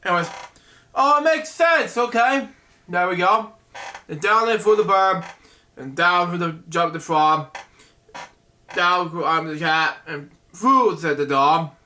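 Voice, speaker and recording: A man reading a bedtime picture book aloud, halting and stumbling over words, with chatty asides to the listener. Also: high voice, sharp tone.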